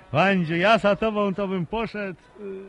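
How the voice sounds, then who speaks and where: High-voiced cocky village lad. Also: male voice, dull audio, exaggerated voice. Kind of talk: Polish radio drama acting.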